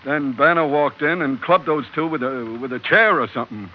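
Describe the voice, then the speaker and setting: An actor playing a brash, slangy, loyal sailor sidekick in an old-time radio drama, with a wisecracking manner. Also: male voice, fairly high pitch, moderate pace, close to an everyday voice. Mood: wry. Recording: dull, lo-fi, noisy old radio recording.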